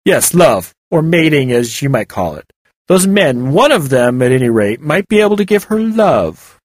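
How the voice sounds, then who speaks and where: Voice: mid-range voice, very animated, normal speed, dry recording, clean studio sound, pitched above the performer's own voice. A narrator voicing a sarcastic, distrustful companion. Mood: wry. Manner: sarcastic, mocking.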